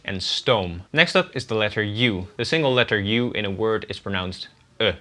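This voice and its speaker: Brief: young Dutch-native man, lowish pitch, bright tone, steady explainer. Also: normal speed. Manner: clear, methodical explaining with spelled-out examples. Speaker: guest language teacher. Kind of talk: scripted pronunciation lesson.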